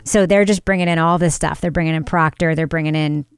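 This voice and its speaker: A woman hosting a casual true-crime podcast chat, animated and quick to agree, laughing often. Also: low voice, clear, resonant tone, no room sound, clean studio sound. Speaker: podcast host.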